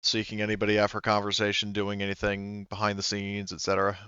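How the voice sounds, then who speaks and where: A man running a tabletop game, explaining rules in a relaxed, easygoing way. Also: on the low side, fast talker, smooth, dry recording. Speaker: game master. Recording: dull lo-fi mic.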